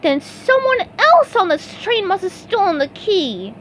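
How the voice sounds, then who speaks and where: Woman doing a super high-pitched excitable sponge guy, cheerful, voice jumping all over. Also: measured pace, slight background noise, full character voice, rough recording. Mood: earnest. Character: eager cheerful young male sea sponge.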